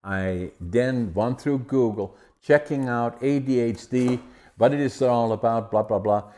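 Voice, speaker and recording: An older man from the Netherlands with a Dutch accent, telling his life story in an interview with animated restarts. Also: medium pitch, a little slow. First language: Dutch dialect. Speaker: guest. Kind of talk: conversational interview.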